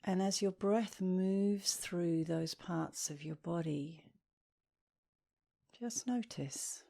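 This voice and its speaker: A woman leading a guided meditation as an instructor, speaking softly and soothingly, with gentle, breathy phrase endings. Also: fairly low pitch. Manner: soothing, gentle, unhurried with long pauses.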